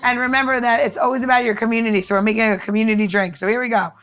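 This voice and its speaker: High-voiced woman, chatty and upbeat. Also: fairly even intonation, rapid-fire, fairly bright tone. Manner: informal, upbeat, peppered with like and you know. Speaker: podcast co-host presenting cocktail recipes. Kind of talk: casual chatty recipe walkthrough.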